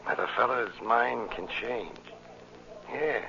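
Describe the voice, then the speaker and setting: A man's voice: mid-range voice, takes it slowly, vocal fry, a crowd in the background. An actor playing a cocky, flirtatious tough guy with slangy, smug swagger in a 1940s radio drama. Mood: smug flirting. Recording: muffled, noisy old radio recording.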